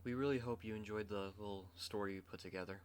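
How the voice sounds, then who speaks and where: A man's voice: on the low side, steady tone, quick pace, clear, resonant tone. A man hosting a roleplay channel, talking casually in an outro. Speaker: ASMR roleplay channel host.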